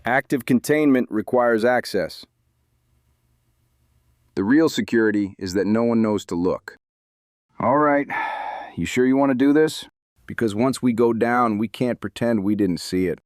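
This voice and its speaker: A man's voice: medium pitch, unhurried, long pauses, no room sound, studio quality, lightly acted. A man playing a terse, commanding community leader. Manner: clipped, commanding instructions. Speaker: council leader. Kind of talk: noir audio drama dialogue.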